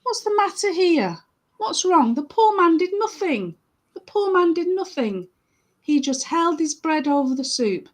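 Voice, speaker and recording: A woman voicing a clever, fair-minded man in a children's story, speaking in measured, repeated pronouncements. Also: high-pitched, sing-song, a little mellow, heavily acted. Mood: concerned.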